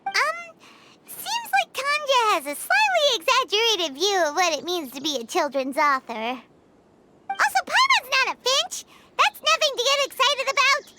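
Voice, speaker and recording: A woman's voice: very high-pitched, big pitch swings, normal speed, very noisy. A woman voicing a small, chirpy female companion in a video game who refers to herself by name. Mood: indignant.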